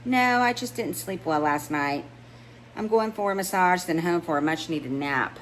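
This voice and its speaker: A woman's voice: fairly low pitch, animated, moderate pace, a bit bright, rough recording. Woman reading a composed, friendly romance heroine. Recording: noticeable background noise.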